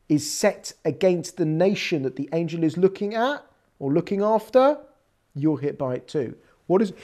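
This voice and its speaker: Animated English woman teaching Torah. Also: sits low. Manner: animated, conversational teaching with quoted dialogue. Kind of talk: religious lecture.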